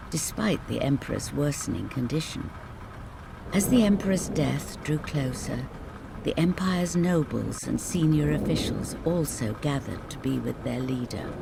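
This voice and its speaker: A storyteller narrating a scripted bedtime fairy tale in measured, dramatic phrases. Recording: clear rain and rumble noise behind. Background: rain ambience.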